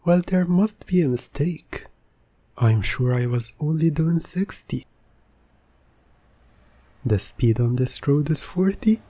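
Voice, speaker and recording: Guy acting out a smooth talking hypnotist for asmr, mellow breathy voice, slow and coaxing. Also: fairly high pitch, expressive, no echo. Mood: feigned innocence. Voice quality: soft, breathy. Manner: soothing, persuasive, deliberate, often dropping to a whisper. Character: smooth-talking, coaxing male hypnotist playing innocent motorist. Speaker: ASMR roleplay performer.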